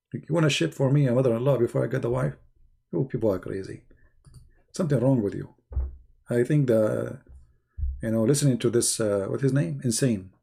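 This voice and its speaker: Husky, gravelly man rambling on a live stream. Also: medium pitch, warm tone. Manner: teasing, sarcastic, repeats phrases. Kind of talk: rambling religious debate live stream chat.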